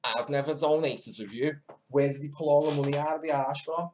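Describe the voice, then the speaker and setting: A man's voice: fairly high pitch, fairly even intonation, a little fast, darkish tone, slight background noise. A man giving casual, mocking commentary while editing clips, often muttering to himself. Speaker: video maker commenting on news clips.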